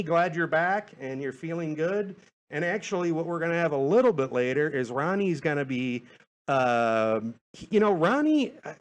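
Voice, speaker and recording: Man, higher-pitched voice, casual podcast host, rambling, hesitant, stammering repeats, self-deprecating.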